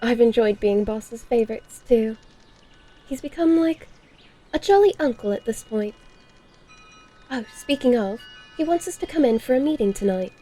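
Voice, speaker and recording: A genteel vampire lady out west , played by a woman, high in pitch yet soft-edged and mellow. She is refined and coy, with a predatory streak under proper phrasing, in the manner of audio horror-comedy. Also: lively intonation, normal speed, pauses often. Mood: poised, breezy.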